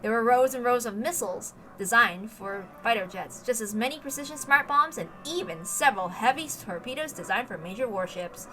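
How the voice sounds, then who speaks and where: A woman's voice: a little high, lively intonation, fairly quick, hardly any pauses, bright, forceful tone, rough recording. A woman reading a story aloud as dramatic scripted narration, with emphatic, theatrical delivery. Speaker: reader of a visual novel.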